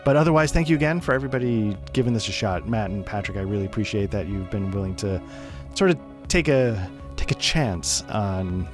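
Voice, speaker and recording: A man's voice: on the low side, expressive, fairly quick, few pauses, over music. A man hosting a tabletop game podcast, rambling casually and laughing often as he wraps up a session from home. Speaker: game master and podcast host. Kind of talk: casual post-session chat.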